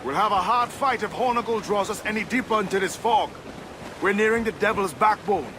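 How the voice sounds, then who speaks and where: Casting: male actor as loyal, cautious pirate quartermaster for a game, high-pitched, measured, stern, clear background noise. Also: close to an everyday voice. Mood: wary. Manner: measured, grave, questioning. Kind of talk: video game cutscene acting.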